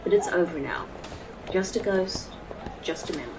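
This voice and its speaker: A woman's voice: fairly low pitch, lively intonation, moderate pace, noisy background, natural voice. Woman acting a proud, formal A.I. guide. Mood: reassuring, brisk. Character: polite, proud female A.I. tour guide in lifelike human form. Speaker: actor in a sci-fi horror fiction podcast. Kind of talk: audio drama acting.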